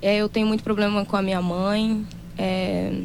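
A woman from Brazil giving a soft-spoken, halting and heartfelt personal testimony. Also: mid-range voice, level tone, gravelly, a little hiss or hum.

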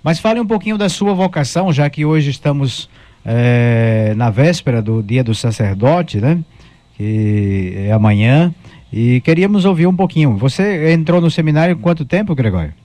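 Mellow Brazilian radio host. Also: male voice, medium pitch, expressive, moderate pace, no room sound. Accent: Brazilian Portuguese. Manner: friendly, prompting with tag questions. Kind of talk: radio interview.

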